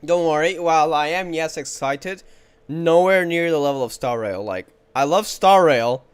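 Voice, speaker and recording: A man's voice: a little high, normal speed, clear, resonant tone. A man doing casual live commentary on a game playthrough, chatty and thinking aloud off the cuff. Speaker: game streamer. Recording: game music behind the voice.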